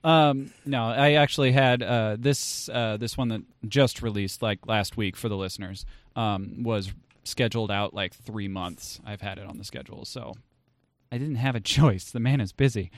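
A man hosting a casual, joking podcast interview in relaxed conversational language. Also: on the low side, moderate pace, no room sound. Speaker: podcast host.

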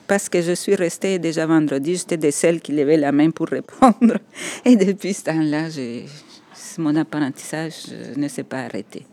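A woman's voice: deep voice, lively intonation, moderate pace, mellow. Woman recalling her past. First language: Spanish. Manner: reflective, with hesitations and laughs. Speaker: interview guest. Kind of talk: personal storytelling in a podcast interview.

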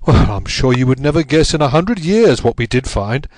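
A man's voice: medium pitch, sing-song, normal speed, a little mellow, no echo, lightly acted. A man voicing a self-important, bullying police inspector in an audiobook, taunting and pleased with himself. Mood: gleeful, teasing. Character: smug, bullying male police inspector, gloating. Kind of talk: audiobook character voice.

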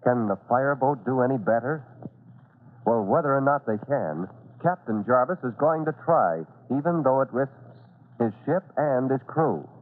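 A man's voice: medium pitch, lively intonation, normal speed. A man narrating a children's adventure serial as a dramatic 1940s radio announcer. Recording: narrow-band, slightly noisy 1940s radio recording.

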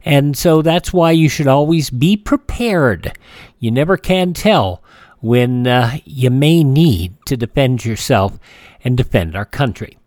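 Laid-back radio host. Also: male voice, medium pitch, slow pace, no room sound, studio quality. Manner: relaxed, rambling, with many fillers. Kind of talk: casual chat.

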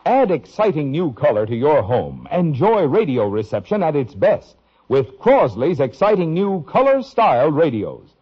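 Husky, swooping American radio pitchman. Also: huge pitch swings, moderate pace. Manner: emphatic, salesmanlike. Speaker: commercial announcer. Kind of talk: 1950s radio advertising pitch. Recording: dull old radio recording.

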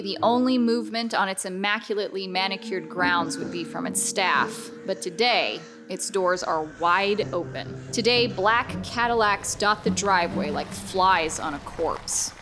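A woman performing as a wry, ominous gothic storyteller, narrating a scripted radio drama in a measured, literary way. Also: medium pitch, lightly acted. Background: prominent music.

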